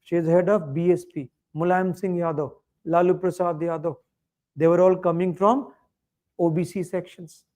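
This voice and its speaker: A man's voice: high-pitched, steady tone, normal speed, a little mellow. A man with an Indian English accent teaching a coaching class, posing questions to students and answering them himself. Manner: emphatic, asks rhetorical questions and answers them.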